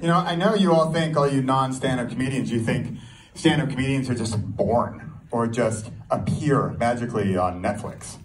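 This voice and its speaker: A man performing a stand-up comedy set, telling a story in an animated way and throwing rhetorical questions at the audience. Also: medium pitch, normal speed. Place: live comedy show before an audience. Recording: live room, distant mic, audience noise. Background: audience crowd and laughter.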